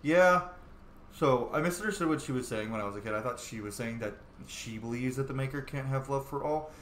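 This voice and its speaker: A man's voice: medium pitch, a little fast. A man giving informal, rambling commentary on a video game playthrough, thinking aloud. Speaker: game streamer, role-player. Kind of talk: casual playthrough commentary, reading dialogue choices in character. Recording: roomy, noisy home recording.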